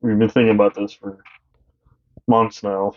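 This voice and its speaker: A young man with a deep voice co-hosting a podcast in casual chat, rambling and halting with many fillers. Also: flat delivery, dull audio. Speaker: podcast co-host.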